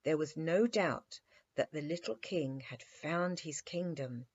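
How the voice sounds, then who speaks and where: A woman's voice: low-pitched, takes it slowly, a little mellow, dull audio. A woman storyteller narrating a children's bedtime story expressively.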